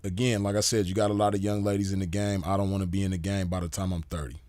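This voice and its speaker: A man's voice: low voice, level tone, racing, darkish tone, no echo. A man giving a casual commentary monologue as host, laid-back and full of "you know" fillers. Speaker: podcast host.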